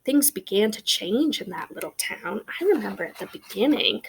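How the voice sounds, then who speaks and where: A woman reading a children's picture book aloud as an expressive storyteller, with emphatic pauses and conversational asides. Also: medium pitch, creaky, heavy background noise.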